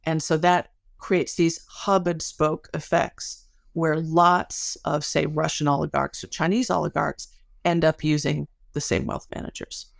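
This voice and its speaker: A woman's voice: sits low, flat delivery, a little slow, vocal fry, no echo, clean studio sound. A woman speaking as an academic guest in an interview, explaining research conversationally with everyday examples.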